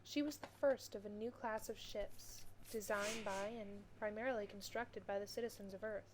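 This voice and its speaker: A woman's voice: medium pitch, fairly even intonation, normal speed, background noise, lightly acted. Woman acting earnest, wistful space officer narrator. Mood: wistful, measured. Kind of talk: scripted audio drama narration.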